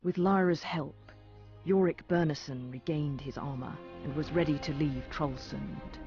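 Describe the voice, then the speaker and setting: A man's voice: fairly high pitch, steady tone, measured pace, a little mellow. A man narrating a fantasy video game as a dramatic storyteller, reading a scripted tale. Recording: muffled game audio under music.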